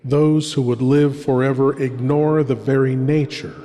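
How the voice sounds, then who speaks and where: A man playing a brooding horror host lurking in shadows, delivering a theatrical, ominous script with deliberate pauses and long reverb. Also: medium pitch, steady tone, warm tone, natural voice. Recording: long cavernous reverb, music behind.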